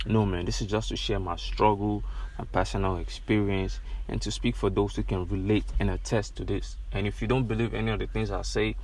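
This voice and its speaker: A man's voice: fairly low pitch, steady tone, a little fast, a little mellow, a little hiss or hum. Earnest Nigerian man in a car. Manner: earnest, repetitive, rising to passionate emphasis. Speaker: podcast host. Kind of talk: solo podcast monologue. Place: inside a car.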